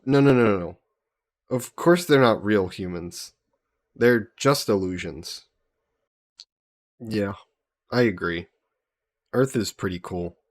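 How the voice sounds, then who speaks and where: Man playing a slow-talking, friendly fantasy hero. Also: lowish voice, lightly acted. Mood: amused reassuring, then fond, hopeful. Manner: conversational, patiently explaining in simple terms. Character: friendly human hero from Earth, patient and eager. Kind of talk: roleplay audio drama, one-sided conversation with a listener.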